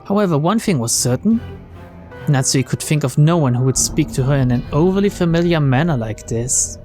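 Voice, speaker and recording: A man reading a visual novel aloud as narrator, in an expressive, dramatic storytelling style. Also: on the high side, brisk. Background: faint game music.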